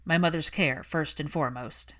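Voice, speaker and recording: Audiobook role: posh, icy young man read by a woman, low voice, dry clipped delivery, easily irritated. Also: normal speed, close to an everyday voice. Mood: cold, challenging. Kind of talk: audiobook character dialogue.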